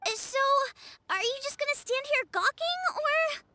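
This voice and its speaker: A practical, impatient young girl from a video game. Her voice is very high, bright and lively, with blunt girlish delivery. Faint game music sits behind. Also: normal speed, full character voice. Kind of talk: animated video game voice acting.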